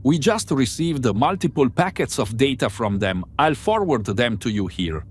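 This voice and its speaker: An analytical male crew member in a fan-made space drama, played by a man, lays out findings methodically. His mid-pitched voice moves with lively intonation over faint background noise. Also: moderate pace, natural voice. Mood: explanatory. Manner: precise, methodical explaining. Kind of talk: amateur sci-fi audio drama acting.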